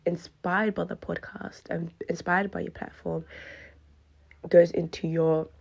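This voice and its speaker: A woman from Western Australia speaks in a soft, husky tone with a gravelly edge, keeping her pitch flat and low. She sounds earnest and devout, like a listener recording a heartfelt voice message. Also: a little slow, highs cut off. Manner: earnest, devout, softly spoken. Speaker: listener sending a voice memo.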